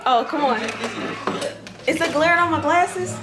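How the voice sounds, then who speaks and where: A young woman answers questions in a house in a high, light voice, playful and teasing. Music runs clearly behind her throughout. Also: a little slow. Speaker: guest. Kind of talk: casual joking interview.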